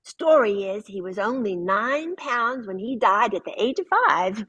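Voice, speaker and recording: A woman's voice: medium pitch, sing-song, unhurried, a bit bright, breathy edge, exaggerated voice, poor audio. A woman reading the part of a blunt, gossipy grandmother at a funeral, over a video call. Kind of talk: zoom radio-play reading.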